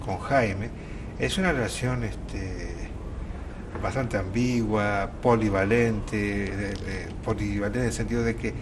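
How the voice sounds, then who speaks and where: A man in casual conversation about the theatre, speaking haltingly and often correcting himself. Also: fairly low pitch, steady tone, background noise. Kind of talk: casual interview conversation about a stage play.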